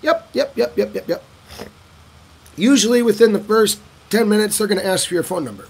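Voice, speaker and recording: A man casually answering viewer questions in a vlog, telling stories in an animated way and acting out other people's lines. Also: fairly quick, creaky, husky. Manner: animated storyteller who acts out locals' lines in a higher voice. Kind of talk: casual talking-head Q&A.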